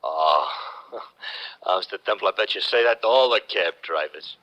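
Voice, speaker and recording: An actor playing a good-natured, slangy, deferential cab driver who stammers a little, in a 1950s radio drama, on a dull old recording. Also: male voice, deep voice, normal speed, sharp tone. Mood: cheerful, obliging.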